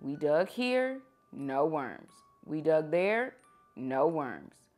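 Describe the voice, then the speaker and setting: A woman with a slightly low voice reads a children's story aloud. Her delivery is expressive and unhurried, with animated phrasing aimed at young listeners. Music plays behind her voice. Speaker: teacher reading children's books aloud.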